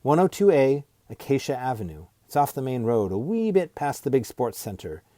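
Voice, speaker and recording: A man's voice: mid-range voice, big pitch swings, moderate pace, dark tone, dry recording, pitched above the performer's own voice, natural voice. A man voicing a guarded, wry teenage girl in a storybook, speaking hesitantly with a dry, challenging edge. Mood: reserved.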